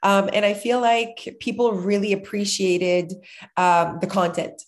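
A woman's voice: medium pitch, fairly even intonation, a little slow. A woman in her thirties co-hosting a podcast, chatty and laughing often, a little nasal and sick. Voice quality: slightly nasal. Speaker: podcast co-host. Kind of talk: casual podcast chat.

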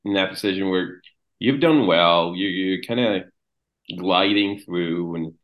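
A young man from Mexico talking casually as a podcast guest, halting and repeating words, trailing off quietly. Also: low-pitched, highs cut off. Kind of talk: casual conversation.

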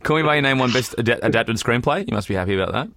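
A man's voice: mid-range voice, animated, very fast, creaky, a bit bright, no echo, clean studio sound. An Australian man, a trained actor hosting a podcast, chatting casually and teasingly about films and awards. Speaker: podcast host; trained actor and voiceover artist.

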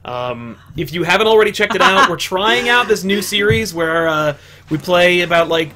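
A man's voice: sits high, expressive, normal speed, bright, forceful tone, a little hiss or hum. A man hosting a comics talk show in a loose, chatty way with lots of fillers. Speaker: show host. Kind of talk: casual chat.

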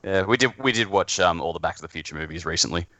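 A man's voice: low-pitched, expressive, quick pace, noisy background. A man chatting casually, often correcting himself mid-sentence and swearing now and then. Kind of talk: casual chat.

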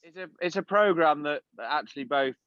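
A man's voice: a little high, a little fast, fairly bright tone, dry recording, highs cut off. A man co-hosting a podcast, telling a long rambling story with lots of ums and uhs. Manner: rambling anecdote-telling with many fillers. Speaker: podcast co-host. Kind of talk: casual chat.

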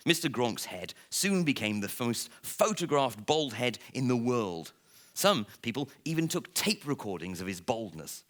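A man reading a comic children's story aloud to listeners in a theatrical, playful way, with dramatic pauses. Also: mid-range voice, very animated, fairly bright tone. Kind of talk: expressive live storybook reading.

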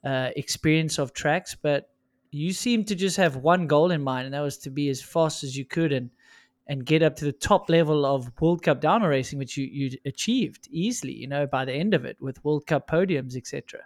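A middle-aged South African man interviewing in a measured, thoughtful tone. His voice sits a little high for a man. The sound is dry and close. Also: no echo. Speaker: interviewer, former pro rider. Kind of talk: casual conversational interview.

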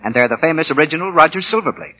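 A man's voice: fairly high pitch, animated, rapid-fire, pushed and bright. A man announcing for a sponsor on 1940s radio, with a polished, emphatic sales delivery, on an old broadcast recording.

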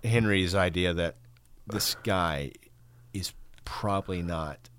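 A man co-hosting a film podcast, explaining things in a casual, halting, thoughtful way. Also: low voice, a bit bright.

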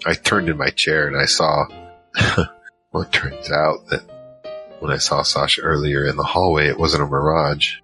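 A man's voice: rumbling low, little pitch movement, moderate pace, pushed and bright, faint music behind. A man playing a wry, casual male narrator searching for his family in an audio drama. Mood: reflective. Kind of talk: first-person audio drama narration and dialogue.

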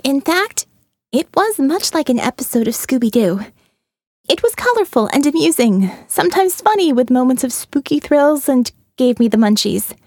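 A woman's voice: sits high, big pitch swings, normal speed, a little mellow, dry recording, clean studio sound. A woman reading a first-person audiobook in a wry, conversational way. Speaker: audiobook narrator.

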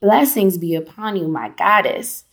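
A woman poet reciting a spoken word blessing in deliberate, incantatory short phrases. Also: mid-range voice, lively intonation.

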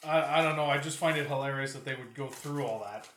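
A man's voice: mid-range voice, quick pace, fairly bright tone, a little hiss or hum. A man hosting a podcast with casual, joking, sweary banter as he poses questions, recorded with a roomy echo. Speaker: podcast host posing questions. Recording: roomy, echoing sound.

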